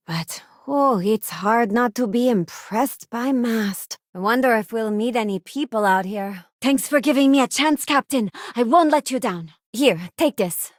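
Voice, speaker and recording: An actress voicing a sincere, principled young female space ranger and crew member in a video game, with a measured, plain-spoken delivery. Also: a little high, no echo, studio quality. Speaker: voice actress playing a game companion. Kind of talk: scripted video game voice lines.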